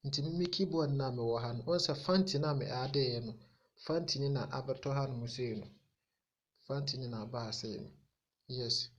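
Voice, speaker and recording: A man from Ghana with a Ghanaian accent and Akan as his native language, giving an informal, halting, repetitive step-by-step tech tutorial that mixes two languages. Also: medium pitch, highs cut off.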